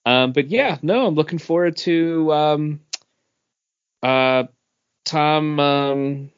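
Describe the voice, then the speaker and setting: A tipsy man chats casually on a podcast at a slow pace. His voice is mid-pitched. The sound is dry and slightly lo-fi. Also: clear, resonant tone, no echo. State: tipsy from drinking. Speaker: podcast co-host. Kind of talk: casual chat.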